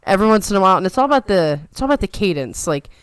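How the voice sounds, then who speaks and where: Smooth chatty woman with a New York lilt. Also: medium pitch, big pitch swings, rapid-fire. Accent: softened New York. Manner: chatty, playful, trained radio delivery. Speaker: podcast co-host. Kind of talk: casual chat.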